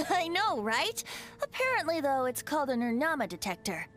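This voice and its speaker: A carefree young bard from a fantasy game, teasing with a light, lilting delivery. The voice rides high and lively, with soft game music underneath. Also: male voice, moderate pace. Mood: playful.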